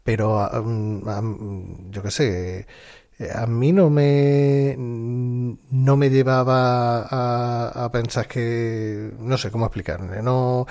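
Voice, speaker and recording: A man from chatting casually, telling animated anecdotes with hesitant fillers. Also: medium pitch, slow, creaky. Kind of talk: casual chat.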